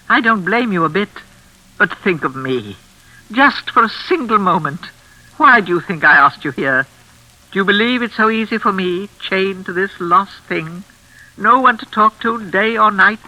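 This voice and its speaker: Theatrical, menacing older mistress, piercingly bright. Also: female voice, mid-range voice, animated, low-quality recording. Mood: pleading. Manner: theatrical, honeyed and insistent, with deliberate repetition. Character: menacing, unhinged older mistress of a crumbling hall. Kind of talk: classic radio suspense acting.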